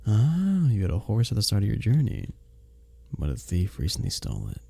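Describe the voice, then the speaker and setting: A man acting as a kind innkeeper from a medieval fantasy village, in an intimate ASMR audio drama. His voice is low and husky, with a gravelly edge. He speaks in gentle, formal, storybook phrases with a hesitant tenderness. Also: wildly swooping pitch, a little fast, no room sound, full character voice. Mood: curious.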